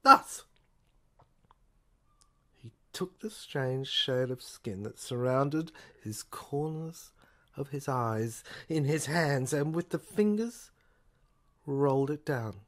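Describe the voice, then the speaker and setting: A woman narrating a scripted audio play in a deliberate, theatrical storytelling manner. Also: extremely low pitch, lively intonation.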